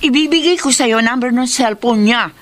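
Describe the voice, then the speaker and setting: An actress playing a self-assured, insistent young gossip in a Filipino radio drama. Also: female voice, on the high side, normal speed, slight background noise, lightly acted. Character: insistent gossiping young woman, sure of herself.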